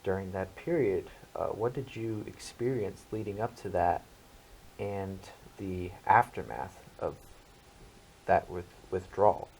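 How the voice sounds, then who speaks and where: A man's voice: sits low, a little slow, vocal fry, darkish tone, slight background noise, low-quality recording. A young man co-hosting a student interview show, reading a scripted intro and questions with occasional stumbles. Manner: stumbles while reading aloud. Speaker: co-host.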